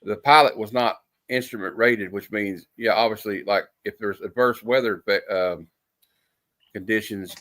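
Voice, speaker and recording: A man in his forties chatting casually on a livestream, halting with many fillers and restarts. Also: fairly low pitch, fairly bright tone. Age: 40s. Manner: halting, full of ums and restarts. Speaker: guest on comic livestream. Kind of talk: casual chat.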